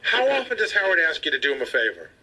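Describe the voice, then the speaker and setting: A man with a raspy voice, badgering forcefully with questions in argumentative radio banter. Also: on the high side, huge pitch swings, fast talker, slight background noise, poor audio. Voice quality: rough, raspy. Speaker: radio show participant.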